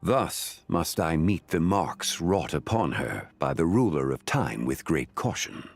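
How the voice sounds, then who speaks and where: Deep, grave, wise gentleman. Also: animated, faint music behind, exaggerated voice. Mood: solemn. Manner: formal, measured, cryptic. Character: calm, grave, wise, dignified gentleman sage. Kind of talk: video game voice acting.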